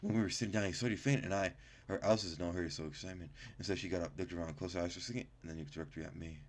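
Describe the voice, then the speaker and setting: Deep-voiced man doing a gaming let's play. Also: steady tone, rapid-fire. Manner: stumbles over read-out words, breaks off into profane, incredulous reactions. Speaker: gaming video host. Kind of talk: casual let's play, reading game text aloud with commentary. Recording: music behind the voice.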